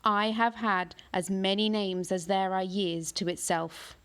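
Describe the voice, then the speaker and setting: A woman reading aloud, voicing a huge ancient male tree monster with slow, booming declamation. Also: steady tone, close to an everyday voice.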